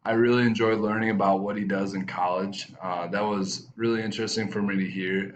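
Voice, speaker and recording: Casting note: male science teacher, low monotone voice, faint hiss behind. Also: poor audio. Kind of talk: testimonial.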